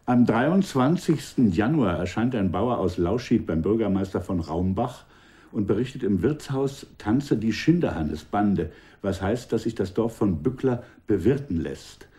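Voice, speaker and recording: A low, very soft-edged male voice playing a confident commissioner in a period radio drama. He is formal and assured, with dry irony in how he addresses a subordinate. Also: animated, a little slow. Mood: dry, briefing. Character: wry, confident male commissioner general in revolutionary era. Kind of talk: German radio drama acting.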